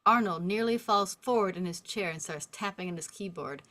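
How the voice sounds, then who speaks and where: A woman with a lowish voice. She narrates stage directions at a table read and also chats informally with the group. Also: normal speed, sharp tone. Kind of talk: reading script directions, casual discussion.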